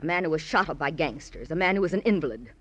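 An actress playing a genteel, self-absorbed middle-aged society woman in a radio drama, with mannered, deliberate phrasing. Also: low-pitched, animated, fairly bright tone. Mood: wistful, bitter. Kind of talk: old-time radio drama acting.

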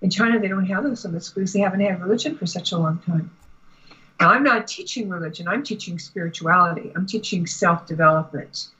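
Woman, American accent, husky voice, deliberate conversational explaining, slightly muffled lo-fi sound. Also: fairly low pitch, bright, forceful tone. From United States. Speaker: guest spiritual teacher. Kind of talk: conversational interview talk with anecdotes.

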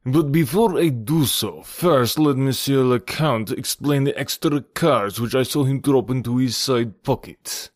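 Man acting an insistent, formal gentleman accuser. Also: medium pitch, measured pace, few pauses, no room sound, pitched above the performer's own voice, close to an everyday voice. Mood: insistent, accusing. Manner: formal, pressing. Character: insistent, self-righteous card-playing gentleman accuser. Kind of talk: audiobook character voice.

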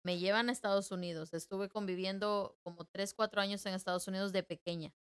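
A woman from El Salvador telling stories in a relaxed podcast chat. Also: mid-range voice, a little fast, clean tone. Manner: storytelling, talkative. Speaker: guest. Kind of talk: casual chat.

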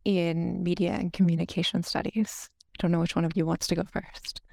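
Gravelly-voiced woman podcast host. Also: fairly low pitch, little pitch movement, fairly quick, darkish tone, dry recording, studio quality. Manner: friendly, scripted questions with "um" openers. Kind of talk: interviewer asking prepared questions.

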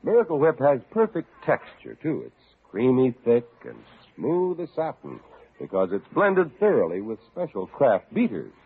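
Animated, husky vintage radio announcer man. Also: medium pitch, normal speed. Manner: polished salesmanlike delivery. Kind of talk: 1940s radio ad and story narration. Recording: dull, lo-fi old radio recording.

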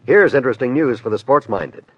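A man's voice: mid-range voice, animated, fairly quick. An American man announcing a radio show in a 1950s broadcast style. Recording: dull vintage broadcast with loud music. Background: loud music.

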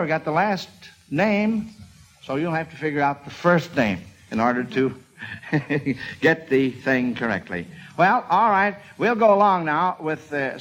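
A man hosts a vintage radio quiz in a fairly high voice. He addresses children like a class, pausing and hesitating between phrases. It sounds like an old broadcast. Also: fairly bright tone. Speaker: quiz show host.

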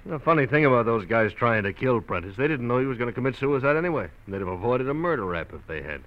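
An American actor playing an easygoing, matter-of-fact desk sergeant in vintage radio drama, talking in casual, clipped phrases. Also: male voice, medium pitch, animated, quick pace, lightly acted. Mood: casual, sympathetic. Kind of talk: 1940s radio acting. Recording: dull vintage radio recording.

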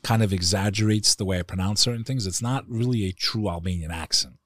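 A man with a very deep voice and a New York accent, speaking as a podcast guest in passionate, emphatic conversation. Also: fairly quick, a bit bright, no room sound, clean studio sound. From United States.

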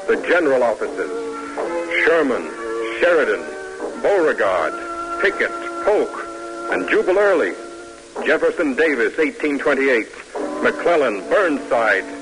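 A man's voice: mid-range voice, poor audio. An American man narrating an old-time radio drama in a solemn, stately, oratorical way. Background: music.

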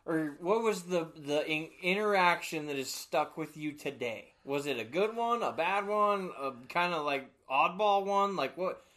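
Slow-talking male host, rambling questions, lots of laughing. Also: a little high, lively intonation, bright, forceful tone. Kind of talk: casual interview chat.

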